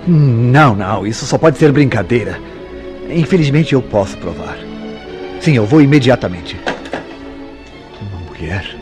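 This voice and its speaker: A guilt-racked Brazilian killer in an old-style radio soap. His voice sits low, with passionate, formal and emphatic delivery that can turn bitter. Music plays behind him. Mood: agitated, then grieving resolve. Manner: theatrical, formal, emphatic diction. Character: tormented, guilt-ridden male killer, passionate, bitterly sarcastic lover. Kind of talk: old-fashioned melodramatic radio drama acting.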